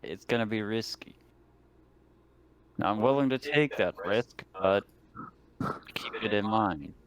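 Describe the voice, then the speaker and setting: A man's voice: on the low side, a little slow. A man chatting casually as a player in a tabletop roleplaying game.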